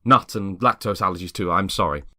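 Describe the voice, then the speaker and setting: A man's voice: deep voice, fairly quick, pushed and bright, dry recording, clean studio sound. Man playing a guarded, bleary artist. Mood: politely guarded. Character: guarded, bleary-eyed male artist neighbour, politely declining. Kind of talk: dramatic script reading.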